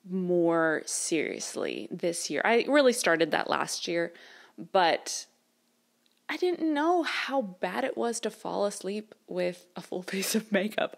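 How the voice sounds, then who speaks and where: A woman, chatting casually to camera in a rambling way with fillers and small laughs. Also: medium pitch. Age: 30s. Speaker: vlogger. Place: her mother's house, indoors.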